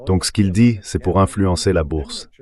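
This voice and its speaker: A deep man's text-to-speech voice in the role of an interview guest. He gives political and economic commentary in an explanatory way, often asking a question and then answering it. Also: normal speed, a little mellow. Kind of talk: translated interview commentary, read by AI voice.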